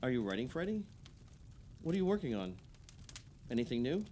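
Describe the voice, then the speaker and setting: An actor playing an earnest, outspoken and supportive friend in an audio play, talking in a blunt, chummy, naturalistic way. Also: male voice, medium pitch, big pitch swings, fairly quick, dark tone, a little hiss or hum, natural voice. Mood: curious, chummy.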